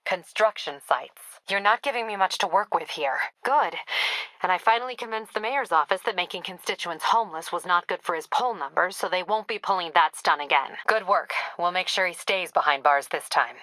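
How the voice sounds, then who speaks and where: A woman's voice: fairly low pitch, a little fast, a bit bright, no room sound, close to an everyday voice, rough recording. A woman playing a tough, businesslike female police captain in a video game, clipped and authoritative with a wry edge. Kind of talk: video game dialogue acting.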